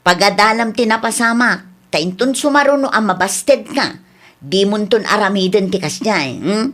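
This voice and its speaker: A woman's voice: mid-range voice, normal speed, fairly bright tone, natural voice. A woman with an Ilocano Filipino accent playing a caring middle-aged mother and aunt in a radio drama. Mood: earnest, soothing.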